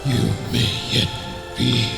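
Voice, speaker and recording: A man's voice: slow, natural voice. A man voicing a solemn, cryptic mystic spirit in a fan audio drama, grave and portentous. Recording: echoey long reverb. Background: prominent music.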